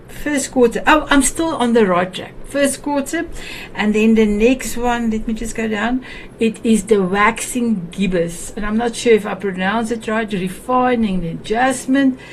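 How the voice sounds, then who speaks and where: A woman's voice: mid-range voice, darkish tone, slight background noise, poor audio. A woman from South Africa with an Afrikaans accent, hosting a talk show in a chatty, hesitant way with many restarts. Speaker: show host. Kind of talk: warm casual talk on a video call show.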